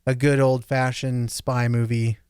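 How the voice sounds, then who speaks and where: A man's voice: medium pitch, little pitch movement, unhurried, darkish tone, clear, resonant tone, dry recording, studio quality. A man on a podcast panel chatting casually, with informal enthusiasm. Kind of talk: casual chat.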